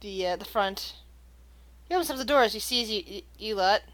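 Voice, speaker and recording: A woman narrating a tabletop game as game master, searching for words with many pauses and fillers. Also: mid-range voice, animated, fairly bright tone. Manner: hesitant, many fillers and restarts.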